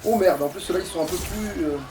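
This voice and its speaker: High-pitched man gaming, distant mic. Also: fast. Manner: crude joking, swearing. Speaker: let's play gamer playing. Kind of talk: casual gaming chat with a co-commentator. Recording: distant mic, faint background noise.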